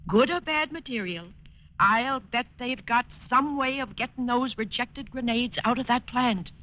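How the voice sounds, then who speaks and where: A woman's voice: on the high side, a little slow, natural voice. Actress as an earnest, worried radio informant woman. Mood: worried, suspicious. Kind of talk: 1940s radio acting. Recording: noisy, tinny old-time radio recording.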